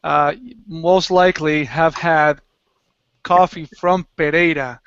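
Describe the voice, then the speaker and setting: A man's voice: fairly high pitch, steady tone, slow, a bit bright, clear, resonant tone, no echo. A man from Colombia, a guest on a video call, chatting casually and laughing between phrases. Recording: muffled lo-fi video call audio.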